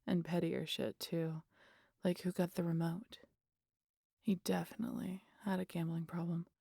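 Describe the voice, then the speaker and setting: A wry, stubborn vampire woman, played by a woman confides in an intimate audio roleplay. Her voice is mellow and husky, recorded close and dry, and often slips toward a whisper. She talks casually, with swearing and a guarded, teasing edge. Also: fairly low pitch, flat delivery, normal speed, no room sound. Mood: nostalgic, wry. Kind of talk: intimate audio roleplay monologue addressed to the listener.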